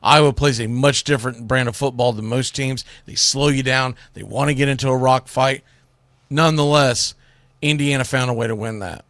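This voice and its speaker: A man's voice: medium pitch, steady tone, moderate pace, fairly bright tone, no echo. A man hosting sports radio, giving opinionated college football commentary in a blunt, emphatic, colloquial way.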